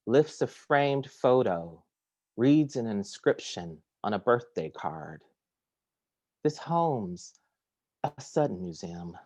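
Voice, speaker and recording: A man giving a poetry reading from home, with a measured, deliberate delivery. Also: mid-range voice, a little mellow, no room sound. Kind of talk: poetry reading with casual introduction. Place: at home on a remote video reading.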